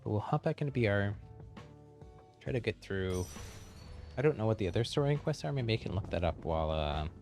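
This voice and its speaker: A man's voice: lowish voice, lively intonation, fairly quick, pauses often, a little mellow, faint music behind. A man chatting casually as he streams a video game, rambling and thinking aloud. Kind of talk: casual livestream chat while playing.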